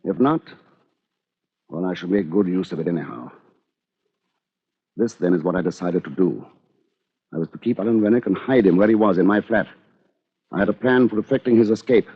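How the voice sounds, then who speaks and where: An actor with a British accent playing a cool, calculating schemer in an old radio drama, measured and articulate. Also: male voice, on the low side, husky. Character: calculating, cool-headed English man confessing his schemes. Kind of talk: 1940s radio drama, first-person narration. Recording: dull vintage radio recording.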